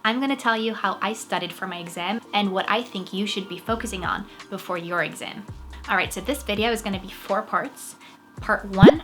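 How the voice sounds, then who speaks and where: A young woman speaking English with a Norwegian accent talks casually to camera. She is upbeat and chatty and pokes gentle fun at herself. Her voice sits at a medium pitch, with music playing clearly underneath. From Norway. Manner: chatty, self-deprecating, upbeat. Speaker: vlogger, actress.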